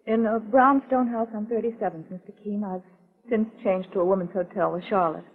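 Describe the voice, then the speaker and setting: A woman's voice: mid-range voice, brisk, breathy edge. An actress playing a frightened, earnest woman client in a 1940s radio mystery, speaking carefully and dramatically. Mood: anxious recounting. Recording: tinny, phone-like 1940s radio recording.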